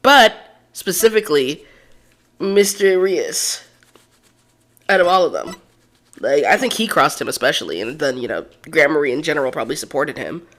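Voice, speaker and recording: A woman streamer chatting casually while playing a game live, a little hoarse and coughing as her voice wears out. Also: sits low, animated, normal speed, long pauses, a bit bright. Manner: chatty, casual, thinking aloud. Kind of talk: live game playthrough commentary.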